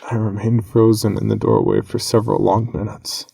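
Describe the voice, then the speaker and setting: A man with a low voice and heavy creak narrates a horror tale. His delivery is grave and measured, breaking phrases with dramatic pauses. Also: level tone, dark tone. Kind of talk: scripted horror story narration.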